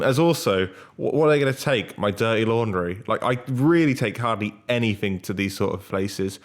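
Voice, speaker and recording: A man co-hosting a podcast, talking in a casual, conversational style. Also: on the low side. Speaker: podcast co-host. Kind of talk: casual chat.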